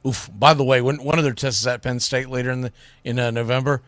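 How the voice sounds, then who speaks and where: A man's voice: medium pitch, fairly even intonation, quick pace, dry recording. A man hosting sports radio, giving opinionated college football commentary in a blunt, emphatic, colloquial way.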